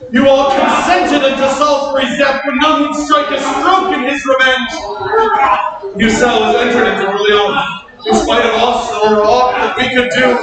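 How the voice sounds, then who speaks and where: A man's voice: extremely high pitch, fairly even intonation, moderate pace, a loud crowd. A man acting a proud, fiery English warrior lord in declamatory Shakespearean verse. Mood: enraged rallying. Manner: theatrical, declamatory. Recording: echoey hall, distant mic, noisy.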